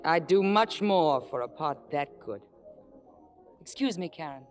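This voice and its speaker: A woman's voice: low voice, lively intonation, unhurried. A woman acting a coolly manipulative, ambitious young actress in a vintage radio drama. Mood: coolly threatening. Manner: silky, calculating, theatrical. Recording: old radio broadcast with background music.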